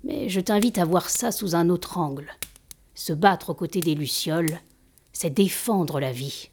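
A woman plays a seasoned female master in an audio drama. Her voice sits a little low for a woman, and she speaks with blunt, familiar authority, as a hospitable mentor would. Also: a little slow, a little mellow, husky. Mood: calm, instructive.

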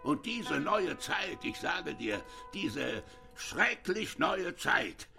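A bitter, brooding old village patriarch in a radio play. His voice is gravelly and husky with a sharp edge, and it swings widely as he drags out halting phrases. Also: fairly high pitch, sing-song, over music, full character voice. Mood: scornful, agitated. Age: older. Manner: slow, brooding, halting with repeated words. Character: bitter, ailing old dike reeve, brooding father. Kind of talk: German radio drama acting.

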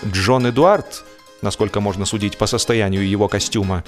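A man reading a humorous literary classic as an audiobook narrator, expressively and wryly, with drawn-out pauses and emphatic phrasing. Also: fairly low pitch, soft background music.

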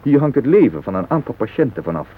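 An actor in a radio drama playing an earnest hostage who pleads urgently and a little hesitantly. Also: male voice, mid-range voice, very animated, fast, slightly rough. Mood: pleading, urgent. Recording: dull, muffled old radio recording.

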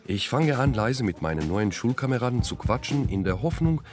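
A man's voice: mid-range voice, very animated, brisk. A man giving scripted first-person narration for a radio play, dramatic and self-ironic. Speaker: narrator and author.

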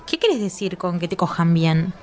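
An actress playing a questioning, sharp-tongued woman in radio drama dialogue, challenging and inquisitive. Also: on the low side, sing-song, moderate pace. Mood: probing.

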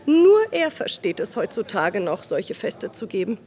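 A gushing society lady in a radio play. She speaks at a medium pitch, with affected emphasis and polite insistence. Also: very animated, a little slow, a little mellow. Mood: insistent. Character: effusive, insistent high-society lady guest at a soirée. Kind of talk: radio drama acting.

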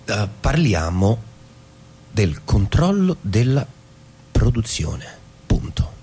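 An actor playing a dry, deliberate narrator and office husband in a literary stage drama, reciting in a theatrical way. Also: male voice, lowish voice, sing-song, mellow, slight background noise. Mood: flat, methodical. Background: music.

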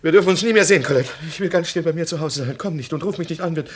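Husky, fearful man. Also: on the high side. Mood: desperate. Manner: halting, anxious, insistent. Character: fearful, broken lover just freed from prison. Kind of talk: 1950s German radio drama acting.

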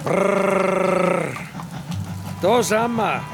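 An actor playing an effusive, servile country caretaker with an Austrian accent and drawn-out greetings in a radio drama. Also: male voice, high voice, gravelly, over loud music, full character voice. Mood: effusive welcome. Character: effusive, servile rustic caretaker greeting his returning master.

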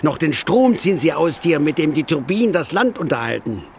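An actor playing a weary, bitter, hard-drinking East German building worker, declaiming poetically in a theatrical radio play. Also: male voice, a little high, big pitch swings, brisk, slight background noise. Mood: bitter, insistent.

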